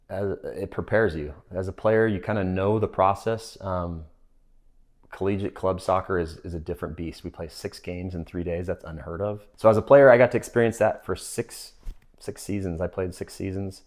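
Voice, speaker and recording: A man's voice: deep voice, moderate pace, a little mellow. A middle-aged man with an American accent, reflecting in a casual interview as a sports coach. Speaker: assistant soccer coach.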